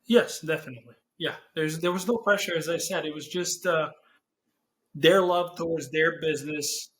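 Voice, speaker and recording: A young man from Serbia with a Serbian accent, answering interview questions in English as a non-native speaker, pausing to search for words. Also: fairly high pitch, fairly bright tone. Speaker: guest, business owner.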